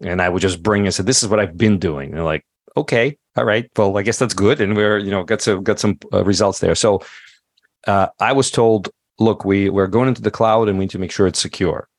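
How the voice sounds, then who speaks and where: Deep-voiced man, non-native English speaker. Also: fairly quick, no room sound, studio quality. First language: not English. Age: middle-aged. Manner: thoughtful, many fillers and restarts. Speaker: podcast guest. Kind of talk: casual interview talk.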